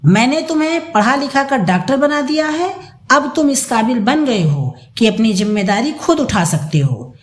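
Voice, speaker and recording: Woman performing older father, high voice, indignant, accusing, firm, animated delivery, audio story. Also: moderate pace. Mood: stern. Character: stern, angry older father rebuking his greedy son. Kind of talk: dramatised audio story reading. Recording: roomy echo.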